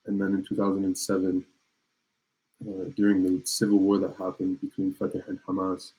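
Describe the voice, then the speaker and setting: Low husky-voiced young man, flat delivery. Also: a little fast, rough recording. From Palestine. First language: French. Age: early 20s. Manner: relaxed, laid-back, slangy, frequent restarts. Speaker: guest musician. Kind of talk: casual conversational interview.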